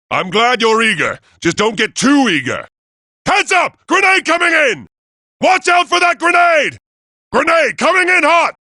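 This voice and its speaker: Proud robot leader for a video game: high, sharp-edged, formal and grandiose. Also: male voice, no room sound, clean studio sound, heavily acted. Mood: urgent warning. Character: proud noble male robot leader, commanding and solemn. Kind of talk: theatrical video game voice acting.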